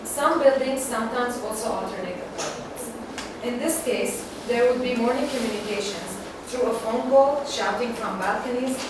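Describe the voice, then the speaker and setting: Young woman from syria reading her research talk, steady storytelling, noisy echoey hall. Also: on the high side, recorded from a distance. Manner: measured, reflective storytelling. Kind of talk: read-aloud presentation.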